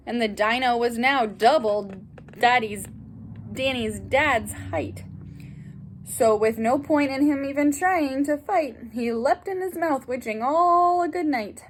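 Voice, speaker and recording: A woman with a high voice reads a children's story aloud at a slow, deliberate pace. She sounds like a teacher with a class, expressive and animated, speaking directly to her young listeners. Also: a little hiss or hum. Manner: animated, addresses young listeners with asides and questions. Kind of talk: expressive children's picture-book read-aloud.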